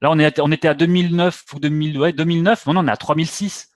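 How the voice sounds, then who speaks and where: A man's voice: a little high, steady tone, very fast, no echo, studio quality. A man hosting a trading webinar, talking bluntly and lecturing his viewers directly. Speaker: webinar host.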